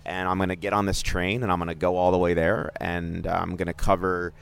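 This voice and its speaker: Rambling middle-aged man, fairly low voice. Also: level tone, a little fast, creaky, clean tone. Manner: rambling, self-interrupting, joking. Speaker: podcast co-host, sports journalist. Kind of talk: casual chat.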